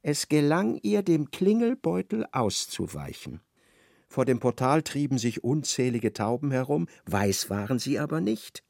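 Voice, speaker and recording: A man playing a wry literary narrator in a radio drama, expressive and ironic as he slips into a character's thoughts. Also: mid-range voice, a little slow, gravelly, dry recording, studio quality.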